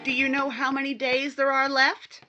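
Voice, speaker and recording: An actress playing an excitable young wife in a 1950s-style radio drama. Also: female voice, high-pitched, moderate pace, muffled recording, music in the background, lightly acted. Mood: cheerful, bustling. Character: chatty, cheerful wife turned frightened victim.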